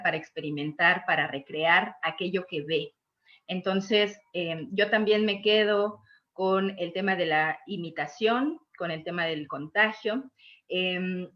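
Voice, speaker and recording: A woman speaking as a panelist, thinking aloud in a deliberate, reflective way. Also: mid-range voice, a bit bright. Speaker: panelist on reading and early childhood. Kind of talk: reflective panel discussion talk. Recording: dull lo-fi video call audio.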